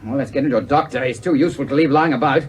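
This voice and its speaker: A man's voice: mid-range voice, very fast, slightly rough, recorded from a distance, natural voice. An actor playing an authoritative male army colonel in an old radio serial. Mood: brisk. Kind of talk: 1940s radio acting. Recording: noisy old radio recording.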